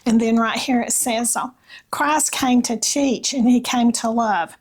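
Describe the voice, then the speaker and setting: A woman with a Southern US accent and a slightly raspy voice, chatting casually about faith in a gentle, halting way with pauses. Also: a little high, fairly even intonation. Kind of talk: casual devotional chat with read-aloud passages.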